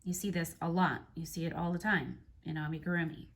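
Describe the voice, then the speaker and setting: A woman from North Carolina, hoarse and stuffy from allergies, explaining crochet abbreviations in a casual tutorial. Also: sits low, fairly even intonation. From North Carolina, United States. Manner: slow, careful spelling out of abbreviations. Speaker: crochet teacher.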